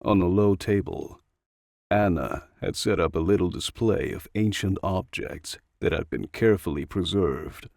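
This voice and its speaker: A man's voice: low-pitched, unhurried, vocal fry, a little mellow, no echo, clean studio sound. A man reading a story aloud as scripted audiobook narration.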